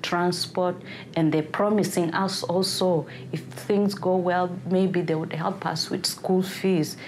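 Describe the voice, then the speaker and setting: A woman's voice: on the low side, steady tone, takes it slowly, a little hiss or hum. A woman from Uganda with a Ugandan accent, giving spoken testimony as an interviewee. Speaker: interviewee, refugee.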